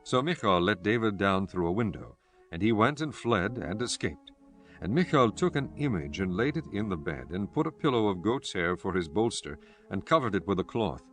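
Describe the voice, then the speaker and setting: Casting: male narrator for dramatized scripture, low voice, solemn storytelling, faint music bed. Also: very animated, normal speed, fairly bright tone.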